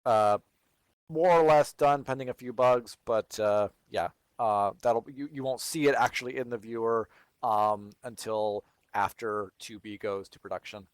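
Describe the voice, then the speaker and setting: A man's voice: mid-range voice, steady tone, measured pace, smooth, no echo. A man from Texas with no regional accent, hosting a livestream and answering viewer questions in casual, think-aloud chat.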